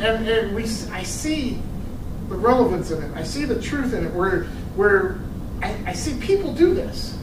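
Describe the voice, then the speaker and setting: A man giving a casual sermon, telling a story and reading scripture, with halting restarts and teasing asides to his listeners. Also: sits high. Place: church room with a live audience. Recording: echoey room from a distant mic with noise.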